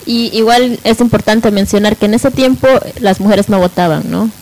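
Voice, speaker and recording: A woman's voice: medium pitch, normal speed, darkish tone, rough recording. A woman in an informal radio discussion, explaining things and often checking for agreement. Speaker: radio panelist.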